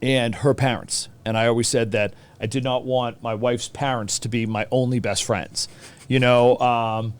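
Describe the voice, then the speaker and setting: A man's voice: medium pitch, steady tone, moderate pace, clean tone. A man in his forties with a Boston accent, chatting casually as an interview guest, colloquial and bantering, repeating phrases for emphasis. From Boston, USA. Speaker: teacher and hockey coach, podcast guest.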